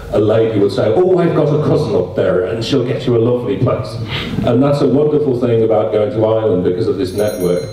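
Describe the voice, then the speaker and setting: Low-voiced New candidate. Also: male voice, warm tone, slight background noise. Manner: measured, reads policy then chats. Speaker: political candidate. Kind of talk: candidate forum answer. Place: community centre hall. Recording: echoey hall, distant mic.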